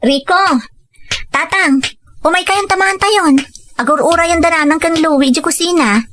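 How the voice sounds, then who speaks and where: A woman playing a gentle, dutiful young daughter-in-law in an audio drama, speaking deferentially. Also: extremely high pitch, moderate pace, slight background noise. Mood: welcoming.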